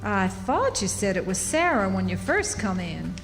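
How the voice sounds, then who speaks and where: A woman's voice: medium pitch, big pitch swings, normal speed. A woman playing a shrewd, motherly, prying frontier townswoman with a folksy American Southern accent in a live radio drama. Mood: suspicious. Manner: folksy, emphatic. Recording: echoey hall, music behind.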